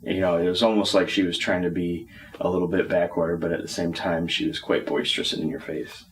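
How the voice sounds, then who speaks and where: A man's voice: sits low, little pitch movement, fast. A man chatting casually as a panelist, talking in loose, rambling phrases. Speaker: panelist on a hobby talk show. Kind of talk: casual chat. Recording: distant mic, faint room noise.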